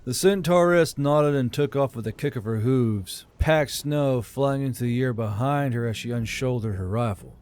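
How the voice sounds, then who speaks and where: A man's voice: mid-range voice, moderate pace, few pauses, a little mellow. A man giving scripted narration of a fantasy audiobook.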